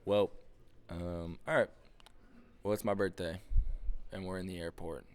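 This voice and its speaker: A man in his early twenties talks in a meandering, offhand way, dropping casual swears as he goes. His voice sits very low and relaxed. He is recording his podcast while sitting in an airport. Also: unhurried. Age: 20s. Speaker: podcast host. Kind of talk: casual rambling chat.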